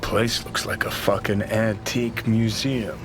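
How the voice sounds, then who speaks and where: An actor playing a cynical, abrasive rebel in a video game, sardonic, profane and sneering. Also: male voice, fairly low pitch, steady tone, unhurried, music in the background, lightly acted. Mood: sardonic, needling. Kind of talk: cinematic video game acting.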